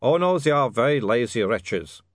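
A man's voice: mid-range voice, sing-song, moderate pace, dry recording, studio quality. A man reading a story aloud and voicing a nervous male vampire inventor with a foreign accent, pleading and trembling. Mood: sheepish.

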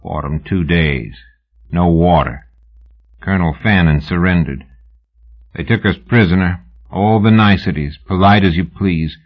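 A man reading an audiobook, voicing a menacing, weary masked Texian gunman who talks in a slow, dry, toneless drawl. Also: deep voice, highs cut off. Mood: grim. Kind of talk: audiobook character voice.

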